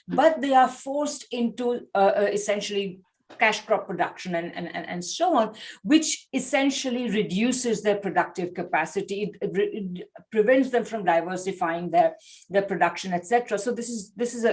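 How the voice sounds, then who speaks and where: Woman panelist, mid-pitched, emphatic, self-correcting, speaking over a video call. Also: a little mellow. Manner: emphatic, rephrasing as she goes. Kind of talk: panel discussion remarks.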